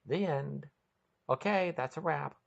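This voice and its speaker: A woman's voice: low voice, measured pace, gravelly, muffled recording. A woman hosting a podcast and narrating a scripted short story.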